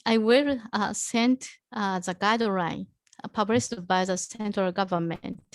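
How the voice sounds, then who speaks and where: A woman from Japan with a Japanese accent answering questions as a presenter at an online academic session, haltingly searching for words. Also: mid-range voice, dry recording, clean studio sound. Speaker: presenter, researcher.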